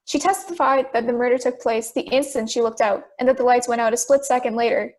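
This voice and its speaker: A young woman acting a calm, persistent juror in an audio drama. The voice is high, with a deliberate, questioning delivery that reasons step by step. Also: close to an everyday voice. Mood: reasoning.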